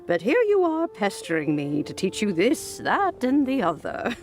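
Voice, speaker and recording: A woman's voice: medium pitch, wildly swooping pitch, a little slow, faint music behind. A woman voicing a fond, teasing elderly granny in a video game, scolding in an indulgent way. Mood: amused scolding.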